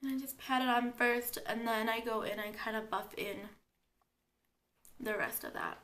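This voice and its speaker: A woman's voice: on the high side, moderate pace, a bit bright. Chatty young woman with a stuffy cold. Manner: chatty, explains each step as she works. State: congested from a cold, sore throat. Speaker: beauty vlogger. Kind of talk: casual makeup tutorial.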